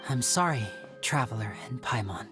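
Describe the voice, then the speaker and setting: A woman voicing a composed, polite young male stage magician in a video game, speaking in a measured, showmanlike way. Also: very deep voice, animated. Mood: apologetic, subdued. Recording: game music behind voice. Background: game music.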